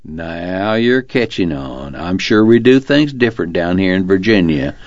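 A man's voice: deep voice, expressive, unhurried, no room sound, muffled recording. A man voicing a belligerent, dim rural cop, loose diction and stammering bluster in a narrated story. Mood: belligerent, folksy. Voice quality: slurred, imprecise diction.

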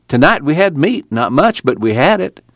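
A man's voice: a little high, big pitch swings, normal speed, no echo, voice pushed up. A man doing a weary young farmhand drawl. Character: weary young male farm worker, plainspoken.

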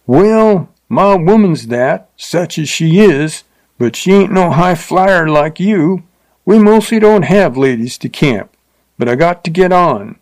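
A man voicing an uncouth, leering frontier rider with a rough drawl and bad grammar. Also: a little high, lively intonation, takes it slowly, darkish tone, voice pushed up. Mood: gruff, mocking.

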